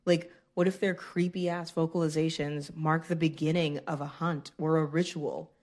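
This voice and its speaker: Low-pitched woman doing a theatrical reading. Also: fairly even intonation, moderate pace, a little mellow. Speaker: guest reader of listener emails. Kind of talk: dramatic reading of fan emails.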